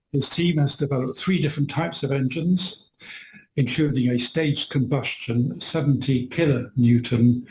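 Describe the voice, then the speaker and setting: A man's voice: mid-range voice, slight background noise, low-quality recording. A man chairing a society lecture who introduces the speaker in a polite, formal, courteous way. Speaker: event chair. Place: lecture room with audience.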